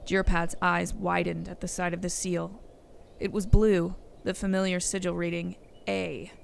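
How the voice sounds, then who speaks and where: A woman's voice: lowish voice, flat delivery, normal speed, creaky. A woman reading scripted storybook narration for an audio drama, on a noisy recording.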